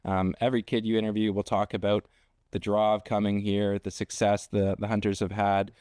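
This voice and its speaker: A man's voice: fairly low pitch, little pitch movement, brisk, darkish tone, clear, resonant tone, no room sound, clean studio sound. A young man hosting a conversational interview, feeling his way through questions with repeated words and restarts. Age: young adult. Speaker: podcast host, interviewer.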